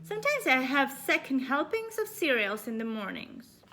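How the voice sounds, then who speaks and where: A woman's voice: high-pitched, sing-song, moderate pace, sharp tone, a little hiss or hum, pitched above the performer's own voice, full character voice. A woman voicing a polite, curious and forthright little girl from a storybook. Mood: earnest.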